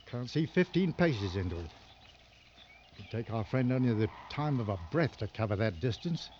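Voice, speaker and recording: An actor in an old radio drama playing a weary, sardonic big game hunter with a gruff, brooding manner. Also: male voice, medium pitch, expressive, normal speed, darkish tone, lightly acted. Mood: tense, watchful. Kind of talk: 1940s-60s radio drama acting. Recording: dull, noisy old radio recording.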